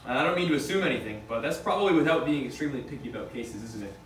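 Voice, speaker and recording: A man acts out an accused businessman at a table read, quick in speech, worldly and resigned. The recording is roomy and distant, with his words stumbling now and then. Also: mid-range voice, animated, fairly bright tone, close to an everyday voice. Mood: businesslike. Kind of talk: amateur script table read. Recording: distant, echoey room with faint noise.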